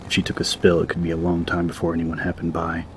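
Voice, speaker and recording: A man's voice: deep voice, completely flat delivery, fast, slight background noise. A man narrating an audiobook from a script in a dramatic story style.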